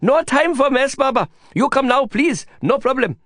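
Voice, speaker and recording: High guide voice, breathless, pleading, dry close sound. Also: male voice, lively intonation, moderate pace, a bit bright, no echo. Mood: urgent pleading. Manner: breathless, pleading, broken English. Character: excitable young male slum guide. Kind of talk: audiobook character voice.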